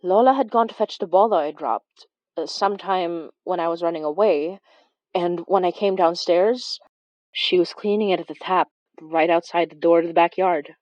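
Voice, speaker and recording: A woman's voice: lowish voice, moderate pace, a little mellow, dry recording, lo-fi sound, lightly acted. A woman playing a wry young woman who recounts a childhood monster chase in a horror audio drama. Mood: wry, reflective. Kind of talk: first-person horror storytelling in audio drama.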